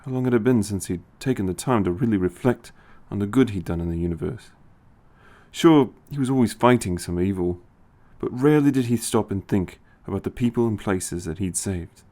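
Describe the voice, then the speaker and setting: Male narrator, lower-pitched, scripted storytelling read. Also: a little fast, mellow.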